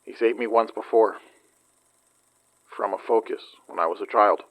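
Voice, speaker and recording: Casting: grave, reserved male lead for podcast drama; quiet understatement, lowish pitch. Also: fairly even intonation, normal speed, low-quality recording. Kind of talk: understated fiction podcast acting.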